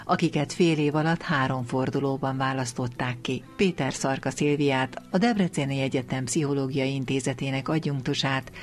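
A woman's voice: a bass voice, few pauses. A woman working as a radio interviewer, asking questions and narrating links. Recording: busy crowd chatter behind.

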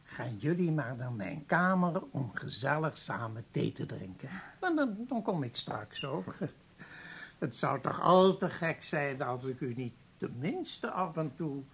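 Very husky, slow old professor. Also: male voice, on the high side, big pitch swings, fairly bright tone, heavily acted. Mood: kindly. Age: older. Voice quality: husky, creaky. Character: kindly, self-deprecating old professor and bereaved father. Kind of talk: radio play acting.

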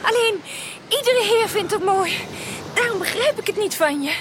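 A woman's voice: very high-pitched, animated, moderate pace, background noise. An actress playing a girlish, dreamy, insistent young society lady in a 1980s Dutch radio drama. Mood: bright, insistent. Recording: loud music behind the voice.

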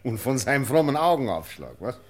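Scornful, animated Bavarian crusading official. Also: male voice, mid-range voice, a little fast. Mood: indignant. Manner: indignant, sneering, emphatic. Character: scornful, crusading official veterinarian, sly and self-righteous. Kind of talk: German radio play acting.

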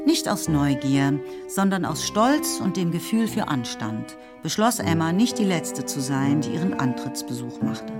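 A woman's voice: low voice, big pitch swings, measured pace, few pauses. A woman narrating a literary radio play in a scripted storytelling style. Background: music.

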